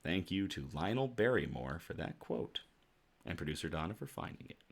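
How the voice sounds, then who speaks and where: Deep-voiced man chatting on a livestream. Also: very animated, moderate pace, gravelly. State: slightly rough voice from shouting. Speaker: host of a casual news and beer livestream. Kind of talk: casual livestream chat to viewers.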